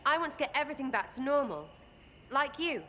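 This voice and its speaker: Brief: an irreverent woman archer, high-ish voice, mocking rambling banter. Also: lively intonation, fairly quick, bright, forceful tone, a little hiss or hum.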